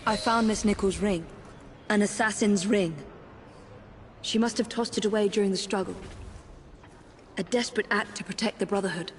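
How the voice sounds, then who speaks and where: An English actress playing a serious, determined Victorian woman assassin investigating murders in a video game, speaking in a measured way. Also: mid-range voice, fairly even intonation, a little hiss or hum, lightly acted. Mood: sober, resolute. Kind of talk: video game drama acting.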